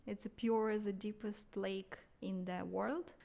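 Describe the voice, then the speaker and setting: A woman's voice: medium pitch, fairly even intonation, a little slow, creaky, warm tone. A woman in her thirties from Russia, speaking English with a Russian accent as an interview guest, earnest and emphatic with light laughs. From Russia (Siberia). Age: 30s. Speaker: podcast guest, marketing entrepreneur. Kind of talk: conversational interview.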